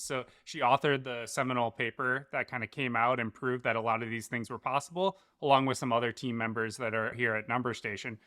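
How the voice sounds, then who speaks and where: A man with a bright, forceful voice at a middling pitch explains his work as an interview guest. He talks like a startup founder in a conversational business register. The sound is dry and close. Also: no room sound.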